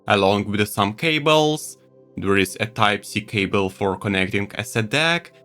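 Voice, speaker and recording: Animated man reviewing gadgets over faint music. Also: medium pitch. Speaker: tech reviewer. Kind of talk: scripted product review narration.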